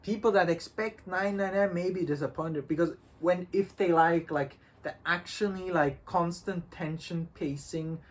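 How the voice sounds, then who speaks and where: Higher-voiced man in casual discussion. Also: a little slow, few pauses. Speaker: co-host of a visual novel review show. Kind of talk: casual rambling review discussion.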